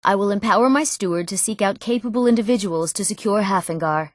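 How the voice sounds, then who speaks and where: A woman acting an eager, earnest young woman in a fantasy video game, speaking her lines clearly and a little theatrically. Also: mid-range voice, fast, no echo, studio quality, lightly acted. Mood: formal, resolute. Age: young adult. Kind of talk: scripted fantasy game dialogue.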